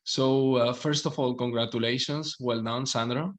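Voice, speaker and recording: Slow-speaking man hosting an interview. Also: mid-range voice, steady tone. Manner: slow, encouraging, frequent affirmations. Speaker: language coach and host.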